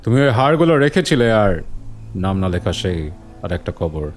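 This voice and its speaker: A man playing a curious, uneasy friend in a horror audio story, speaking in a halting, inquisitive way. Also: fairly low pitch, very animated, soft background music. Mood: eager, shaken. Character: curious, uneasy male friend questioning an eerie tale.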